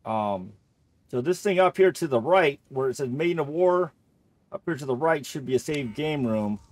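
A man's voice: medium pitch, animated, normal speed, a little mellow, no echo. A man giving casual video game playthrough commentary, jokey and thinking aloud as he goes.

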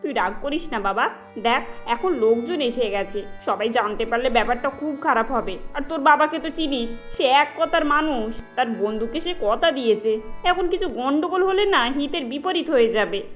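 A woman's voice: sits high, expressive, moderate pace, soft background music. A woman voicing a haughty middle-aged mother-in-law in an emphatic, melodramatic cartoon style. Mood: pleading, soothing. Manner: emphatic, judgemental.

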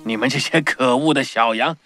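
A man voicing an angry, villainous wolf in a children's cartoon, scolding in a gravelly, menacing voice. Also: medium pitch, a little fast, natural voice. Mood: angry, frustrated. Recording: music behind the voice.

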